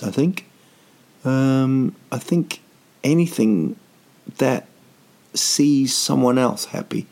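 A man's voice: medium pitch, gravelly, dark tone. A man in his thirties, rambling through a comic vlog with dry, self-mocking asides and lots of ums. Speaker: vlogger.

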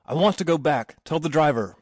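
A cowardly, pompous gentleman from a storybook , put on by a male reader. He whines and pleads in a raised, widely swooping pitch, recorded dry. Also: lively intonation, brisk, no room sound. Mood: anxious. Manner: gasping, whining, pleading. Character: frightened, whimpering, pompous grown gentleman.

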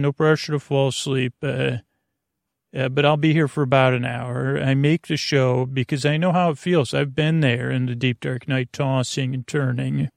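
A man with a creaky voice hosts a sleep podcast, rambling soothingly in hushed golf-commentator tones, haltingly meandering and over-explaining. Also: mid-range voice, no room sound, clean studio sound. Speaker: host of a bedtime sleep podcast.

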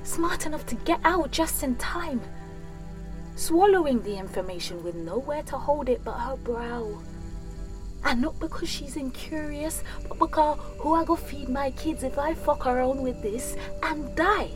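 A woman's voice: sits high, measured pace. An actress playing an anguished young woman in a first-person audio drama monologue, intense and raw, lingering on her words. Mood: tender, resolute. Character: anguished young woman confronting her wounded mirror self. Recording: music behind the voice.

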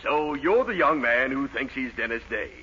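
A man's voice: mid-range voice, animated, moderate pace, sharp tone. A man acting a pompous, easily exasperated middle-aged psychiatrist in a 1940s radio comedy. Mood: patronizing. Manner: pompous, jargon-laden, exasperated. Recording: noisy, muffled 1940s radio recording.

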